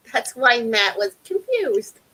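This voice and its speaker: A woman from Illinois with a Midwestern American accent, chatting casually and haltingly as a livestream co-host. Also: on the high side, very animated, pushed and bright, breathy edge.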